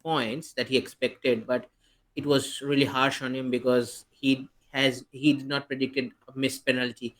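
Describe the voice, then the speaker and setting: An eager man in casual podcast chat. He talks at a medium pitch, circles back and rephrases as he goes, and keeps his enthusiasm up throughout. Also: level tone, unhurried, fairly bright tone. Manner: enthusiastic, often restarts sentences. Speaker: podcast co-host. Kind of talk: casual fantasy football chat.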